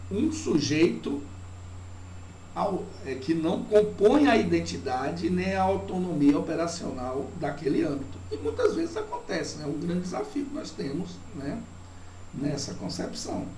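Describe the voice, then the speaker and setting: A man's voice: fairly high pitch, darkish tone. A man from Bahia with a Bahian Brazilian accent giving a didactic, reflective academic lecture, often checking in with short tag questions. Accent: Bahian Brazilian Portuguese. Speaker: university professor. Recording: roomy, distant mic with faint noise.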